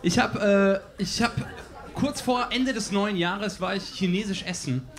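A man's voice: high voice, a little slow. A young man in his twenties hosting a comedy show, chatting loosely on a small stage into a handheld mic. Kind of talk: stand-up host banter. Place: small laundromat stage before a live audience. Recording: live audience crowd noise. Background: crowd laughter.

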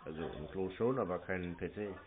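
A man talking in a deadpan, provocative way, prank-baiting callers in a phone conversation. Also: sits low, brisk, background noise. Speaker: scam baiter taking scam calls. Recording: tinny phone-like line.

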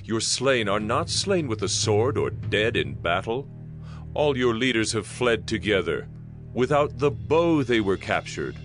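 A man giving a solemn, declamatory dramatized narration of scripture. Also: sits low. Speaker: audio Bible narrator.

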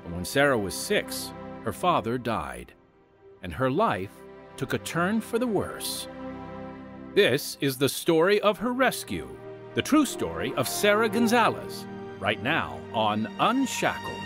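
A man's voice: medium pitch, huge pitch swings, unhurried, music in the background. A man hosting and narrating an audio drama in a scripted, theatrical style with folksy asides to listeners. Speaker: host and narrator.

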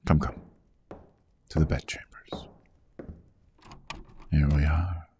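A man playing a suave, possessive vampire lord in a hypnotic ASMR roleplay, hushed and often whispering, with courtly old-fashioned diction. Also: fairly even intonation, takes it slowly, a little hiss or hum. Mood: genteel, attentive. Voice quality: very deep, gravelly, husky.

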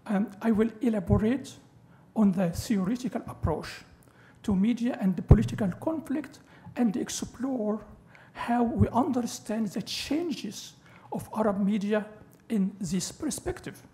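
A middle-aged man whose first language is Arabic, giving an academic lecture at a panel table in a large lecture hall, halting with fillers and restarts and adding joking asides. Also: sits high, lively intonation, dark tone. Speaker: guest lecturer. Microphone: table microphone.

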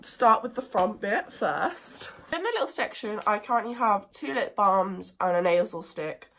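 Croaky-voiced teenage English girl with a cold. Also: mid-range voice, unhurried. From England. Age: teens. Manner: chatty, lively, self-mocking. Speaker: vlogger. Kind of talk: casual chat. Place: bedroom. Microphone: phone camera at desk distance.

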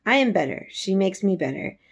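A woman's voice: mid-range voice, very animated, a little fast, creaky, husky, full character voice. A woman voicing an imperious, self-assured sickly ten-year-old boy in a storybook, giving orders in a commanding, unbothered way. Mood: insistent.

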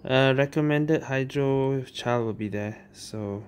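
A woman's voice: rumbling low, slow, darkish tone, a little hiss or hum. A woman giving casual gameplay commentary, musing aloud in hesitant phrases. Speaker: gaming streamer. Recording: game music behind commentary.

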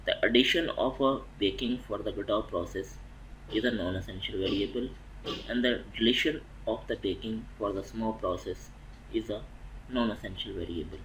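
A man narrating a technical lecture over slides, methodical and halting, restarting phrases mid-sentence. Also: fairly low pitch, fairly bright tone, slight background noise, rough recording. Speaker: welding inspection instructor.

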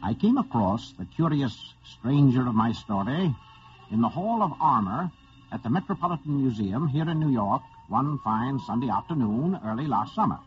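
An urbane gentleman storyteller played by a man from an old radio drama. His delivery is literary and measured yet very expressive, with faint music behind and a dull vintage sound. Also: medium pitch, lightly acted. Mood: reflective. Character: urbane, courteous storyteller recalling a strange meeting. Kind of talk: scripted narration, old-time radio acting.

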